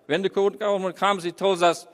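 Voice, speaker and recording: A man from Turkey with a Turkish accent gives an informal speech as a charity representative, halting and rambling, often repeating phrases. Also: sits high, level tone. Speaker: humanitarian charity representative speaking. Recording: echoey hall with long reverb.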